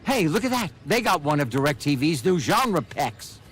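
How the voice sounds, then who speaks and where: An actor playing a cheerful, oblivious chatterer in a comic TV commercial. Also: male voice, fairly high pitch, big pitch swings, measured pace, music in the background. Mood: chirpy, oblivious.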